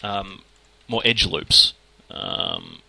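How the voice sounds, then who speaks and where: Fast-talking Australian man, gravelly low voice. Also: expressive, background noise. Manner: fast, informal, mutters at the software. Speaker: 3D modelling tutor. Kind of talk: screen-recorded software tutorial, thinking aloud. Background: keyboard typing and mouse clicks.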